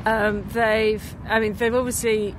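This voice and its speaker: Woman chatting outdoors over clear traffic noise. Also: on the high side, level tone, measured pace. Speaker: skeptic speaker being interviewed. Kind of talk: casual conversational interview. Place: outdoors in a park.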